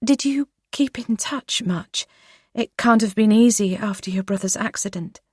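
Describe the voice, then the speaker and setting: A woman voicing a composed female investigator who asks her questions gently and carefully. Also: mid-range voice, moderate pace, breathy edge, no room sound, studio quality, pitched above the performer's own voice, natural voice. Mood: gentle.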